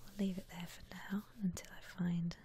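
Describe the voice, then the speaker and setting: Woman, breathy whisper, ASMR game commentary, low, flat intonation, soft musing delivery. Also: slight background noise. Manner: unhurried, soft-spoken musing aloud. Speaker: host of an ASMR gaming channel.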